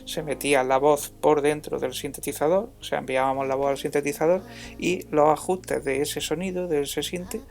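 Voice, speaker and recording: A man narrating an informal music software tutorial as an instructor, in a halting, hesitant way. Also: fairly high pitch, steady tone, creaky.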